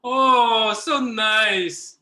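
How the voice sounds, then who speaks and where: A man's voice: high voice, extremely slow, background noise. A man facilitating an improvisational theatre workshop over a video call. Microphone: computer video call.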